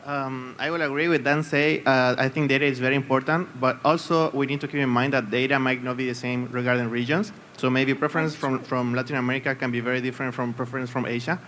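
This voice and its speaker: A man from Chile speaking as a panelist at a conference, measured and a little halting. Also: medium pitch, flat delivery, a bit bright, slight background noise. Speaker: panelist, company owner. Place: conference stage.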